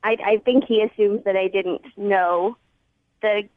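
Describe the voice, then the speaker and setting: A woman's voice: mid-range voice, fairly even intonation, unhurried, dry recording. A woman talking casually on a telephone call to a radio show, a little hesitant and wryly amused. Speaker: phone-in guest. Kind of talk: casual phone conversation. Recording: thin narrow telephone line.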